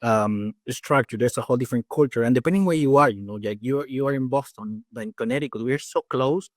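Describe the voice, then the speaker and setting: A man in his forties from Peru, speaking English with a Peruvian Spanish accent, his native tongue being Spanish. He talks quickly and loosely, full of restarts and "you know" fillers, at a middling pitch. The sound is close and dry, with no room around it. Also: dry recording. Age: 40s. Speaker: guest dentist and faculty. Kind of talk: casual interview chat.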